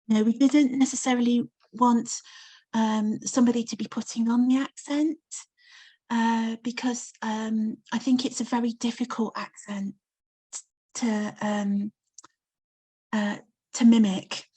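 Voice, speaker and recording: A woman speaks with a Coventry English accent in a relaxed interview. Her voice sits on the higher side, and she talks in a chatty way, full of ums and light laughs. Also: measured pace, breathy edge. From Coventry, England. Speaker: novelist guest. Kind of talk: casual interview chat.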